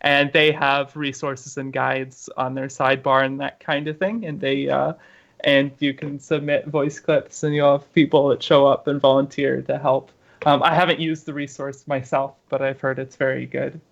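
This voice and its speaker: Halting woman guest on dull lo-fi audio. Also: a bass voice, little pitch movement, vocal fry. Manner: hesitant, halting with many fillers. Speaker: guest gamer and streamer. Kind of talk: casual podcast chat.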